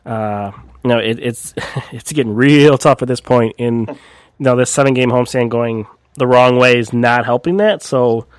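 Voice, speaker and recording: A man co-hosting a casual sports podcast, a little raspy from a cold, talking in a rambling way with lots of fillers. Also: medium pitch, normal speed, dry recording, studio quality. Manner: rambling, many fillers and restarts. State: recovering from a cold, slightly raspy. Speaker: podcast co-host.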